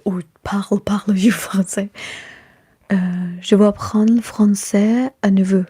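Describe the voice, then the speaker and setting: Slow, very breathy whispering woman. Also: mid-range voice, steady tone, warm tone. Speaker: ASMR streamer chatting with live viewers. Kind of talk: whispered ASMR livestream chat.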